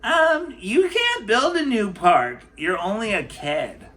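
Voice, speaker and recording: A man's voice: high voice, big pitch swings, slow pace, sharp tone, pitched above the performer's own voice, heavily acted. A man reading a picture book, voicing a flustered, officious female town hall clerk with a hesitant, dismissive manner. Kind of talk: picture book read-aloud.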